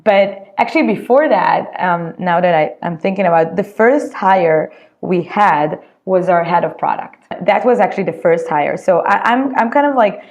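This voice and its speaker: A woman's voice: lowish voice, expressive, normal speed, hardly any pauses, darkish tone, lo-fi sound. A woman from Israel with an Israeli accent, a startup co-founder giving casual interview answers, full of "like" fillers and restarts. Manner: chatty, many "like" fillers and restarts.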